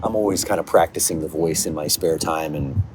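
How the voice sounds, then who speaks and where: A man's voice: rumbling low, brisk. A man talking casually and informally about his creative work, in video diary style. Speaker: audio drama writer and creator. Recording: noisy location recording with vehicle and ambient noise.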